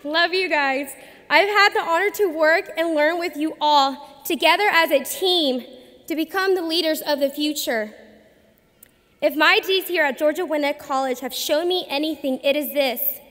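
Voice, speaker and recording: A young woman in her twenties gives a formal graduation speech at a lectern, earnest and measured, with emphatic pauses. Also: sits high, fairly bright tone. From United States. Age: 20s. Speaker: student commencement speaker. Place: large arena at a lectern. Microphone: podium microphone. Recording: long arena reverb.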